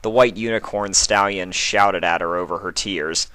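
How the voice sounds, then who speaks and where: A man's voice: sits low, fairly even intonation, normal speed, sharp tone, no echo. A man reading a scripted fan-fiction story aloud as a dramatic narrator.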